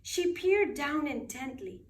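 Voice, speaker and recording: High-pitched woman reading a bedtime story, roomy. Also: animated. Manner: dramatic, deliberate phrasing for children. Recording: roomy echo, distant microphone.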